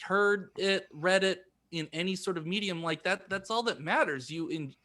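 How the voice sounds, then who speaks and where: A man co-hosting a podcast, bantering casually in an animated, playful way. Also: high voice, normal speed. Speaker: podcast co-host.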